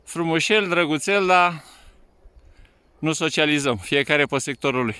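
A man's voice: a little high, sharp tone, clean tone, dry recording. A man working as a ski instructor, talking casually and playfully, teasing and coaxing as he calls out on a slope. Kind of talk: casual phone-video chat while skiing. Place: outdoors on a snowy ski slope. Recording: windy outdoor phone recording, wind noise.

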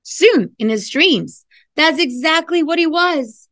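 A woman's voice: high-pitched, expressive, slow pace, a bit bright, no echo. A middle-aged woman reading a picture book aloud to children, expressively, stopping to explain and to prompt listeners with questions. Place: classroom, indoors.

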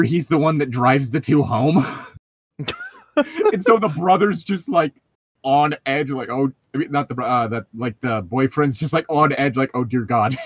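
A man's voice: fairly high pitch, breathy edge, dry recording. Casual sarcastic man, dry close sound. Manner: sarcastic, sweary, slangy. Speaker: co-host reading a book aloud and mocking it. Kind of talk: casual comedic chat and mock read-aloud.

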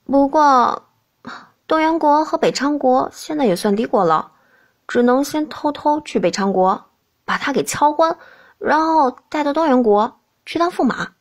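A woman voicing a feisty, sulky young princess in an expressive audiobook style. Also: high-pitched, unhurried. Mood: cheeky. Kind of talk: audiobook character acting.

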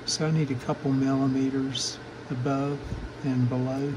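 An older man giving a casual, matter-of-fact, halting narration of hands-on repair work at a workbench. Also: mid-range voice, level tone, noisy background. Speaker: vintage radio repair hobbyist, channel host.

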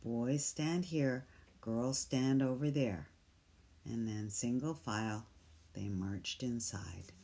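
A calm reader takes a picture book at an unhurried pace. The tone is dark and mellow, gentle and soft-spoken, and now and then sinks into a hushed whisper. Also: mid-range voice, vocal fry, slight background noise. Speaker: storybook reader. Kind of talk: slow picture-book read-aloud.

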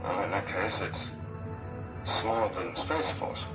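An actor playing a grumpy, commanding spaceship captain in a science-fiction radio drama, brusque and authoritative. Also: male voice, low voice, a little slow, over music, rough recording. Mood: brisk, commanding.

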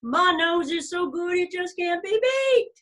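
A woman voicing a boastful, sulky male bear in a storybook, with a blustering, drawn-out and emphatic delivery. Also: extremely high pitch, animated, background noise, voice pushed up. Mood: gloating. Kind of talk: animated storybook reading.

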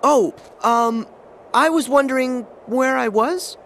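A man's voice: extremely high pitch, slow pace, fairly bright tone, slight background noise, exaggerated voice. A young man voicing an eager, kind teenage boy hero who talks earnestly and asks questions. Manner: earnest, inquisitive. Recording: game audio with music behind.

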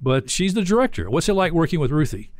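A man, hosting a conversational interview in a measured, earnest way on a close studio microphone. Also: a little high, very animated, no echo. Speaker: interview host. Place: small indoor studio.

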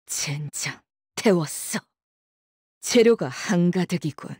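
Casting: a menacing game chef swordswoman, low and unhurried, clipped deadpan delivery, cold temper. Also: slightly rough, dry recording, studio quality, full character voice. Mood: sinister, deadpan. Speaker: voice actor for a game character. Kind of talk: Korean video game character voice lines.

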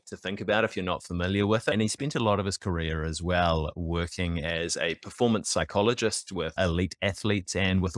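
A man's voice: low-pitched, fairly bright tone, no echo, clean studio sound. A man in his thirties with a New Zealand accent, hosting a podcast over a video call and asking questions in a matey, friendly way. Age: 30s. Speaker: podcast host. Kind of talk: casual interview chat. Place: indoor room. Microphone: headset on a video call.

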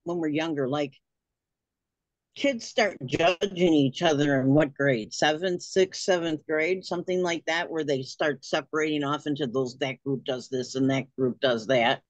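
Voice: medium pitch, measured pace, dry recording, lo-fi sound. A participant musing aloud on a group video call. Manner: musing, chatty. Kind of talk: casual group discussion.

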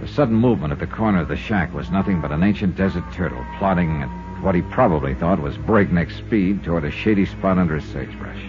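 An actor playing a tough, shrewd insurance investigator in a dry, hardboiled manner in a 1950s radio drama. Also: male voice, sits low, over music, lightly acted. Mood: dry, descriptive. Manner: dry, hardboiled, deliberate phrasing. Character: tough, shrewd male insurance investigator narrating his case. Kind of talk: 1950s radio detective drama narration and dialogue. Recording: muffled 1950s radio recording.

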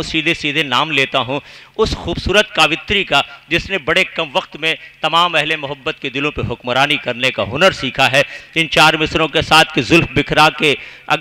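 A man's voice: on the high side, pushed and bright, slight background noise, low-quality recording. A man hosting a mushaira, reciting couplets and introducing the next poet in ornate, courteous Urdu. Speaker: mushaira host. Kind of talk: poetic stage announcing with recited couplets.

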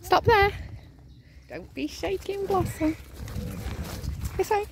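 A woman's voice: very high-pitched, slow pace, noisy background. A woman coaching a child on a pony outdoors, upbeat and full of praise. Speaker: parent coaching a child rider. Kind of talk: casual encouraging instruction. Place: outdoors at a rural pony paddock and lane.

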